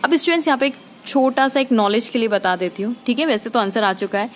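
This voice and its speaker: A woman's voice: on the high side, fast talker. A woman teacher explaining a physics problem step by step, often asking her students checking questions and mixing in English terms.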